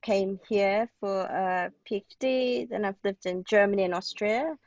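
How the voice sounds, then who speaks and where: A woman's voice: mid-range voice, unhurried, darkish tone, dry recording, highs cut off. A woman from Hong Kong talking casually as an interview guest, with informal, hesitant phrasing. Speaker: guest playwright.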